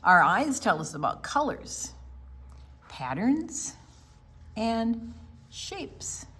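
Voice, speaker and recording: An older woman reads and explains things to children at storytime. Her voice is husky and her intonation is lively. She goes slowly and deliberately, leaning on single words. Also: on the high side. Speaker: children's storytime co-host and reader. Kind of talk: reading a picture book aloud to children.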